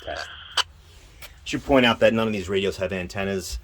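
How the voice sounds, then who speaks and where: A man giving an informal hands-on equipment demonstration, halting and thinking aloud as he goes. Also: low voice. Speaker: presenter demonstrating radio equipment. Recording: clear background noise.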